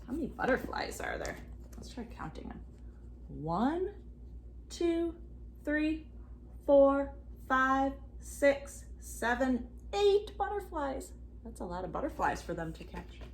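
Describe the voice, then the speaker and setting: A woman reading a storybook aloud expressively to children, pausing for asides and questions to her young listeners. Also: high-pitched, vocal fry. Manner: animated, addresses children with questions. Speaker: children's read-aloud reader.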